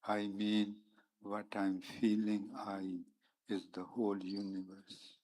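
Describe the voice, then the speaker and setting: A man, native in Punjabi, speaking at a conference with a lowish voice. He talks slowly and calmly, letting long pauses sit between short phrases. His manner is gentle and reflective. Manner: slow, meditative, pauses often between phrases. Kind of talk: spiritual talk mixed with sung verse. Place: conference hall.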